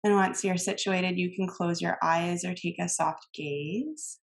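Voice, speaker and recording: A woman instructor leading a guided meditation in a calm, gentle manner, giving soothing cues with long pauses. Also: on the low side, vocal fry.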